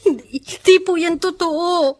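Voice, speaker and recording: A woman's voice: very high-pitched, measured pace, darkish tone, no echo, studio quality. A woman playing a distraught, defiant teenage daughter in a radio drama, pleading and indignant. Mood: tearful, defiant.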